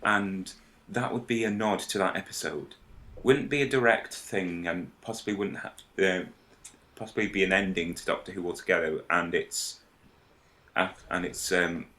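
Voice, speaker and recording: A man in a casual podcast discussion, explaining his ideas in a hesitant, halting way. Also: deep voice, bright, forceful tone. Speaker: podcast panellist.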